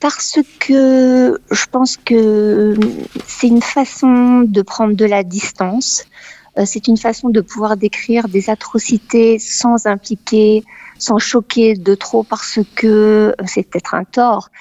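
A woman from Paris, a novelist, talking in a lively, animated way in a conversational interview, with frequent hesitations. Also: fairly high pitch, takes it slowly, no room sound, lo-fi sound. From Paris, France. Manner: animated, exclamatory, many hesitations. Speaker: novelist guest, former dental expert.

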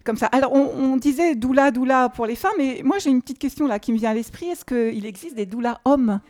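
A woman's voice: high-pitched, fairly quick, darkish tone. A woman interviewing a guest in a small café, asking friendly questions and searching for her words. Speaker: interviewer, radio host.

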